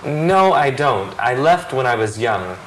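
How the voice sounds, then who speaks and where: A man's voice: medium pitch, very animated, measured pace, fairly bright tone, a little hiss or hum, poor audio. A man reading the answering part in a scripted practice dialogue, matter-of-fact with a joking touch. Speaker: dialogue reader answering questions.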